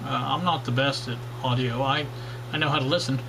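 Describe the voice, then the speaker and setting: A man's voice: mid-range voice, fairly even intonation, fairly quick, vocal fry, background noise. A man hosting a casual workshop vlog on old radios, talking in a rambling way with many fillers and restarts. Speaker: radio hobbyist channel host.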